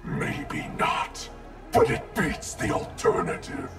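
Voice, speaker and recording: An actor playing a menacing, arrogant undead wraith assassin in a video game, with a gravelly growl and a processed, ghostly sound. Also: male voice, takes it slowly. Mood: cold menace. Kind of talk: video game fight intro dialogue. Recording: distant-sounding game audio under loud music.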